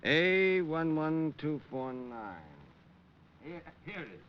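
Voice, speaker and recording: An actor playing a fussy, emphatic shopkeeper in a vintage radio drama. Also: male voice, a little high, animated, slow. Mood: obliging. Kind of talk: 1940s radio drama acting. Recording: dull old radio, faint noise.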